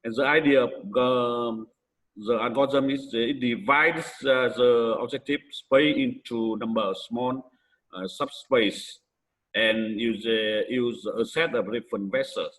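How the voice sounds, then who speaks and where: A man from Vietnam with a Vietnamese accent gives an academic conference presentation in halting, hesitant English with frequent pauses. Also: medium pitch, fairly even intonation, a bit bright, low-quality recording.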